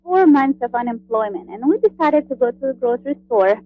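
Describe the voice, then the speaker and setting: A woman from Honduras, a guest on a radio interview, speaking in a friendly, halting way with many fillers. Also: on the high side, animated, phone-quality sound. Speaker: guest, cookbook author.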